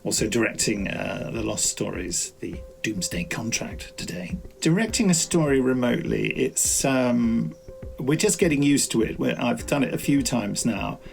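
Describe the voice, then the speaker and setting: A man's voice: mid-range voice, lively intonation, normal speed, vocal fry. A man speaking casually, as an audio drama director keeping a behind-the-scenes video diary.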